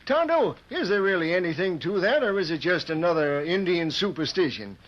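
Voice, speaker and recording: An actor playing a genial, folksy ranch owner with cowboy talk in an old radio western, on a dull, noisy recording. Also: male voice, sits high, animated, a little fast. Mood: folksy, curious.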